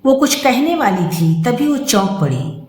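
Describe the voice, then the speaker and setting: Slow-paced woman storyteller, echoey room. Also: a little high, sing-song, darkish tone. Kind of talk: scripted narration of a Hindi moral story. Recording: roomy, echoing sound.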